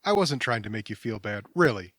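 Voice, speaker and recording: An actor playing a wry but kindly stranger in an audio drama, conversational and dry-witted. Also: male voice, fairly low pitch, big pitch swings, fairly quick, a bit bright, no room sound. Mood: reassuring, wry. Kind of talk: audio theatre acting.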